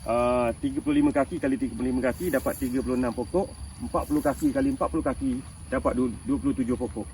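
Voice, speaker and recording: A man's voice: medium pitch, fairly even intonation, fast, darkish tone, far from the mic, slight background noise, low-quality recording. A man in his fifties with a Malaysian Malay accent, chatting casually to viewers about his orchard as a farming channel host, laughing often and checking that they follow. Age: 50s. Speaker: durian farmer and channel host. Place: outdoors in a durian orchard.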